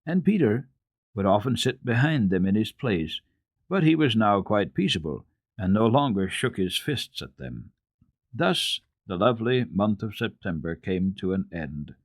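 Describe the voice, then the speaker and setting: A man narrating children's literature as a radio storyteller, reading dramatically in an expressive, deliberate way. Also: lowish voice, dry recording, studio quality.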